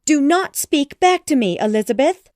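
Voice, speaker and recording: A woman voicing a fretful, easily upset middle-aged mother who talks in shrill, excitable exclamations. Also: measured pace, dry recording, studio quality. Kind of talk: audiobook character voice.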